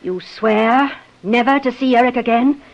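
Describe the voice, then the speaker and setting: A woman performs a jealous, vengeful sister-in-law in a vintage radio melodrama. Her voice is somewhat high and intense, pressing each word with theatrical menace. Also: measured pace, highs cut off, close to an everyday voice. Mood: grim. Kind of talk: 1950s radio acting.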